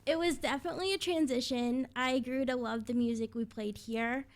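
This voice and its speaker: Upbeat young woman with a higher-pitched voice. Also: fairly even intonation, moderate pace. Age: 20s. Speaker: guest, evening radio presenter. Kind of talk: conversational interview answers.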